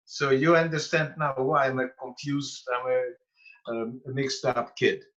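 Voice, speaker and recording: A man of eighty, from Bukovina, telling his life story slowly and carefully as a guest on a video call. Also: medium pitch, fairly even intonation, a bit bright. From Bukovina (Romania/Ukraine). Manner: slow, careful storytelling with pauses. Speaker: Holocaust survivor guest. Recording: dull lo-fi call audio.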